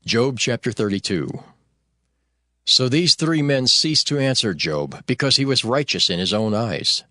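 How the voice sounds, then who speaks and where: A man narrating scripture in a measured, solemn scripted reading. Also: mid-range voice, lively intonation, sharp tone, no echo, clean studio sound.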